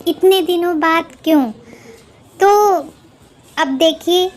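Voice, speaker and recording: A woman with a very high, girlish voice speaks slowly as a vlogger. She gives informal gossip commentary, halting often and repeating her phrases as she goes. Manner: halting, repetitive delivery.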